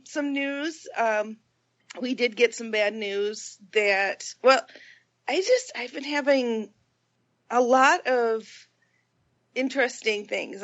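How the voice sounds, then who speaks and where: This woman sounds congested from a cold, her pitch a touch high. She chats casually on a podcast, light and self-mocking. The recording is a little dull and lo-fi. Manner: chatty, laughing, self-deprecating. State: stuffy from a cold. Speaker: podcast co-host. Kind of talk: casual chat.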